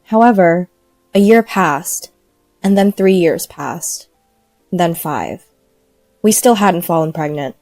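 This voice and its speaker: A woman's voice: fairly low pitch, animated, takes it slowly, pauses often, a little mellow, no room sound, soft background music. A woman reading a first-person scripted story as narrator.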